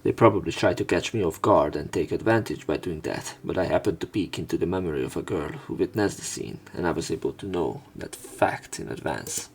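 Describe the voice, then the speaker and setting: A man reading visual novel text aloud as a let's play narrator, in measured short phrases. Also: low-pitched, gravelly, slightly rough. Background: game music.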